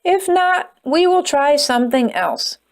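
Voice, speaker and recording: A woman's voice: sits high, big pitch swings, unhurried, heavily acted. A woman voicing a silly, eager male bird in a storybook, upbeat and encouraging.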